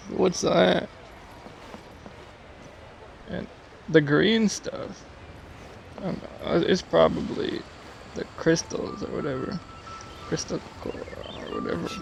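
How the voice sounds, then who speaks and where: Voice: medium pitch, vocal fry, low-quality recording. A game streamer giving casual commentary, musing and hesitant, with game music behind. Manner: musing, hesitant, thinking aloud.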